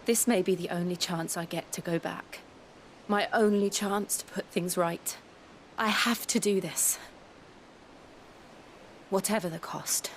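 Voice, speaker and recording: An actress playing a grave, determined young woman in a video game, speaking in an earnest, measured way. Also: lowish voice, a little hiss or hum. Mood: subdued, determined. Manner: earnest, measured, solemn delivery. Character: grave, determined young woman, former captive seeking closure. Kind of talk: dramatic video game voice acting.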